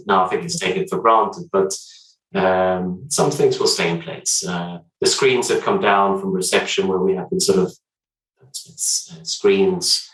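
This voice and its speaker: A man from Sweden with a Swedish accent, a guest talking through his work in a measured, hesitant way with frequent restarts. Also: low voice, fairly bright tone, roomy sound, distant mic, low-quality recording. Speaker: hotel development director, podcast guest. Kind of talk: casual interview chat.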